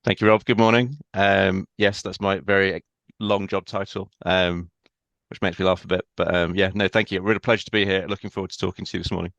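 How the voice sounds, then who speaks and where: A man speaking as a podcast guest in a casual interview, thoughtful and measured, often pausing on "um". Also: deep voice, level tone, creaky, fairly bright tone, no echo, highs cut off. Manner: thoughtful, measured, with frequent ums.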